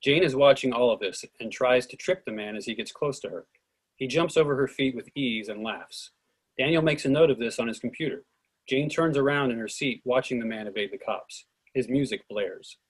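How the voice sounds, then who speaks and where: A man reading stage directions in an even, measured way for a script reading. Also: medium pitch, breathy edge, low-quality recording.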